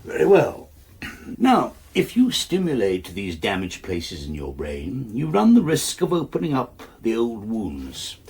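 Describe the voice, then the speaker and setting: Radio drama consultant: English, formal and clinical, roughened husky tone, strongly modulated delivery, cool authority. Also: male voice, fairly low pitch, huge pitch swings, a little mellow, exaggerated voice. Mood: authoritative, explanatory. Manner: measured, formal, clinical, probing. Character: eminent, authoritative male nerve specialist, coolly controlled. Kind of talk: British radio drama acting.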